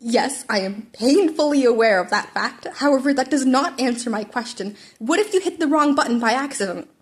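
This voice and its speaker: A woman's voice: high-pitched, animated, fairly quick. Woman playing an exasperated, stern male teacher. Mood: incredulous, exasperated. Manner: incredulous, blunt questioning with bursts of protest. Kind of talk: character dialogue in a fan fiction reading.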